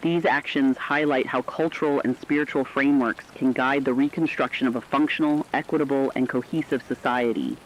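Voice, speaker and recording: A man playing an earnest radio correspondent, reading scripted news in a formal, measured way. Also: medium pitch, steady tone, slight background noise, close to an everyday voice. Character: earnest correspondent on an end-of-the-world radio broadcast. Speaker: co-anchor in a fictional radio broadcast. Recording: dull lo-fi radio sound.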